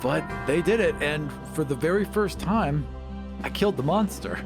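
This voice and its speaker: A man's voice: on the high side, animated, normal speed, music in the background. A man talking casually as a player in a tabletop game, describing his moves. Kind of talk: casual game play talk.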